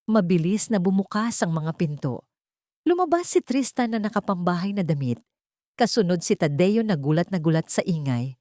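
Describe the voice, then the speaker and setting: A woman narrates a scripted drama in a slightly low voice. Her intonation is highly animated. The recording is dry, with no room sound. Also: moderate pace, no echo.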